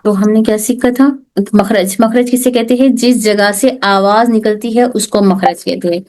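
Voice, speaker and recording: A woman's voice: medium pitch, steady tone, normal speed, a little mellow. A woman teaching an online class who asks questions and then answers them herself, mixing English terms into her explanations. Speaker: tajweed teacher.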